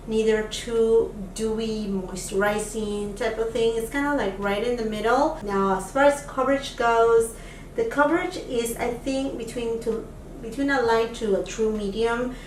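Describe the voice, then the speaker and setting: Woman from Peru, beauty vlogger, chatty makeup chat, fairly high voice, roomy echo, slightly distant mic, faint room hum. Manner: chatty, lots of fillers, enthusiastic about products. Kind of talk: casual makeup try-on chat. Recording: echoey room, voice a bit off-mic.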